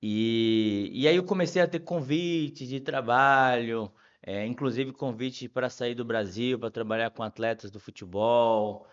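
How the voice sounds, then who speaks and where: A middle-aged man with a Bahian Brazilian accent telling stories casually as a podcast guest, often pausing and repeating words as he thinks. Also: medium pitch, smooth, muffled recording. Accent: Bahian Brazilian Portuguese. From Salvador, Bahia, Brazil. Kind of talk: casual conversational storytelling.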